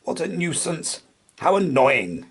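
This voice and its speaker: A grumpy male hedgehog from a bedtime story, acted out by a man. He mutters and grumbles in exasperation. The delivery swings around in pitch and has a slightly husky edge. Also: very animated, slow, exaggerated voice. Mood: grumbling. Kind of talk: children's storybook character voice.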